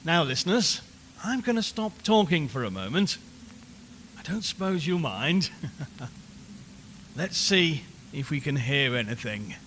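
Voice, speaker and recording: An actor playing an unnerved radio reporter in an audio horror drama, rambling and repeating himself with forced cheer as he slowly loses his nerve. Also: fairly high pitch, big pitch swings, a bit bright. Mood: tense, watchful. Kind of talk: audio horror drama, live broadcast monologue. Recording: long hollow reverb, clear background noise.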